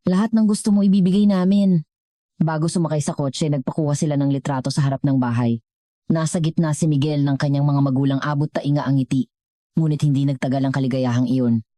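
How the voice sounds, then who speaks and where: A woman with a low, mellow voice, reading a scripted dramatic story as narrator. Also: steady tone, quick pace, no echo, clean studio sound.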